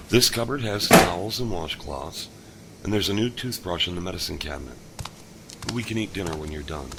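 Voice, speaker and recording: A man narrating and playing a calm, plainspoken delivery driver in an amateur audio drama. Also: deep voice, vocal fry, heavy background noise. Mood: kindly.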